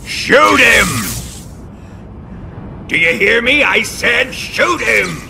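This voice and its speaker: Domineering colonel villain, wildly swinging delivery. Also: male voice, very high-pitched, animated, gravelly, slight background noise, full character voice. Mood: enraged. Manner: commanding, theatrical, deliberate, explodes into shouting. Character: menacing, imperious male military colonel villain. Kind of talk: dramatic video game acting.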